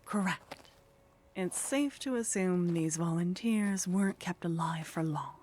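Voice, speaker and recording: A woman playing a teasing, condescending female vampire in a soft ASMR roleplay, languid and haughty, often slipping into whispers. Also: lowish voice, a little slow, a little hiss or hum, close to an everyday voice. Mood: coolly explaining. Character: teasing, condescending female vampire, sly, centuries-worldly contact.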